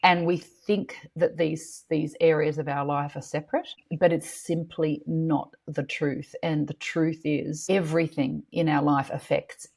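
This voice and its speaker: A middle-aged woman talks in a low, husky voice. She is earnest and passionate as a podcast guest, swearing lightly in casual speech. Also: little pitch movement, measured pace, few pauses, a little mellow. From Australia. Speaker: guest, school co-founder. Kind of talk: podcast interview.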